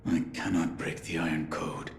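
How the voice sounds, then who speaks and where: A man's voice: low voice, flat delivery, moderate pace, noisy background. A man playing a stoic, loyal shinobi bodyguard in a video game, terse, grave and deferential. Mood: grave devotion. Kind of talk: English game cutscene dialogue.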